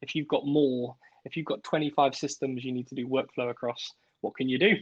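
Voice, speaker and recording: A man's voice: medium pitch, fairly even intonation, a little fast, breathy edge, dry recording. A man hosting an online event, introducing speakers in an enthusiastic, chatty way over a video call. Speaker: event host. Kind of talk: hosting a webinar. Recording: dull, dry call audio.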